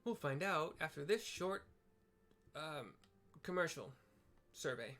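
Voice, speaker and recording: A man's voice: a little high, lively intonation, takes it slowly, a bit bright. A man chatting casually through a game playthrough, describing scenes with rambling, jokey asides. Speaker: gameplay narrator.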